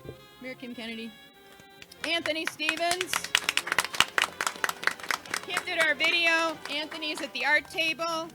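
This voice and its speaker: A woman's voice: high-pitched, unhurried, pauses often, loud music behind the voice. A woman hosting an event, giving an informal, chatty, joking thank-you speech to a live audience. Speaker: event host and organiser.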